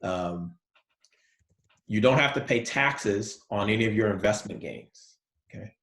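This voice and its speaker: A male college professor giving a relaxed, soft-spoken lecture online, with many fillers. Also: lowish voice, a little slow, reverberant.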